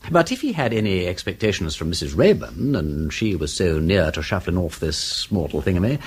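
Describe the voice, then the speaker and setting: An actor playing a flippant, inquisitive aristocratic detective in a British radio drama, with an upper-class English accent and a breezy, foppish, rambling politeness. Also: male voice, sits low, sing-song, fairly quick, breathy edge, heavily acted. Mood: probing, airy.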